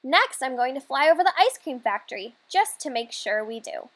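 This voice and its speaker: Woman reading as a bright, dreamy young storybook girl. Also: high-pitched, very animated, normal speed, exaggerated voice. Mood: hopeful. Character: hopeful, dreamy young girl narrating a picture-book story. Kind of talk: children's storybook read-aloud.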